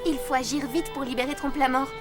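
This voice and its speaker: A woman's voice: high voice, fairly even intonation, fast, music in the background, natural voice. An actress playing a brave, loyal young girl in a French cartoon, earnest and urgent in manner. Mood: urgent, pleading. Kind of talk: French animated series acting.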